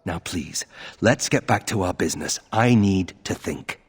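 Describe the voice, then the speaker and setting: An actor playing a brooding young nobleman in a video game, husky and earnest, with a subdued delivery. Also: deep voice, expressive, a little slow, full character voice. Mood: troubled. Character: brooding young nobleman troubled by his family's disgrace.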